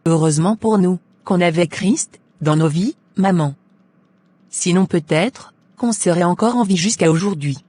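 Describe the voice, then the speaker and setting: A woman's voice: fairly low pitch, normal speed, dark tone, breathy edge, no echo. Devout cartoon daughter, voiced by a woman. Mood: thankful.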